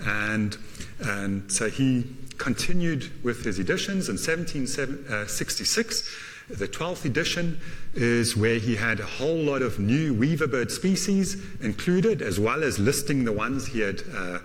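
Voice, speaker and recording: A man whose first language is German gives a university lecture, halting often with fillers and adding self-deprecating asides. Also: mid-range voice, animated. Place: lecture hall. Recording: lecture hall reverb.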